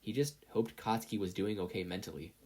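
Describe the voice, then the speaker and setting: A woman's voice: rumbling low, a little fast, dark tone, breathy edge. A woman narrating a scripted fan-fiction story as a podfic reader.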